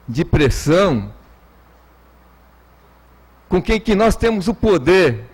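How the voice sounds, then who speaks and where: A man's voice: fairly high pitch, darkish tone, breathy edge. A Brazilian man giving a political speech as a city councillor in a council session, emphatic and oratorical, repeating phrases for weight.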